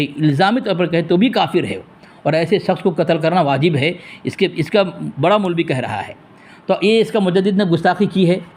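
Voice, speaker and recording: High-pitched, combative man debater. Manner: combative, scolding, repetitive. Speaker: religious debater and commentator. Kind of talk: heated phone debate and polemic to listeners.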